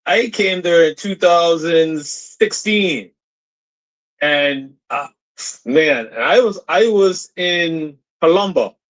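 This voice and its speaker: A man calling into a livestream, telling a story with excitement. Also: on the high side, slow pace. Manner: excited storyteller, acts out voices. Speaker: caller. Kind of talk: casual call-in storytelling. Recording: dull lo-fi call audio.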